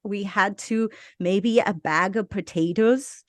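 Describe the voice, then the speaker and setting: Slow-speaking woman with an Argentine accent. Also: mid-range voice, no room sound, studio quality. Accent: Argentine Spanish. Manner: slow, reflective, with small laughs and restarts. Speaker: podcast guest. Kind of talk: personal life story in interview.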